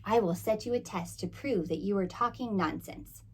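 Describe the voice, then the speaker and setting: A woman's voice: mid-range voice, normal speed, breathy edge, close to an everyday voice. A woman voicing a proud, scornful male storybook tiger, emphatic and condescending.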